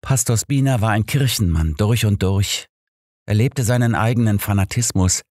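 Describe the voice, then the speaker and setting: A man voicing a devout, candid and measured male pastor in an audiobook. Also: lowish voice, darkish tone, dry recording, studio quality, pitched above the performer's own voice, close to an everyday voice. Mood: earnest.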